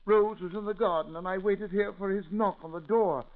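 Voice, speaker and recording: An actor playing an anxious, pleading old uncle in an old-time radio drama. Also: male voice, sits high, fairly even intonation, fairly quick. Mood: frightened, despairing. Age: older. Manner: fretful, pleading, exclaiming. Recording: tinny lo-fi vintage radio recording.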